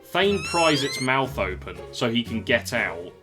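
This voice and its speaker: Guy narrating an audio story, mid pitch, music playing behind him. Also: sing-song, unhurried.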